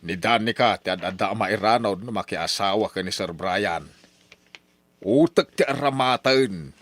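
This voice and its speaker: A man's voice: lowish voice, fairly bright tone, heavily acted. An actor playing an ambitious, scheming older father, pushy and insistent, in old radio drama style.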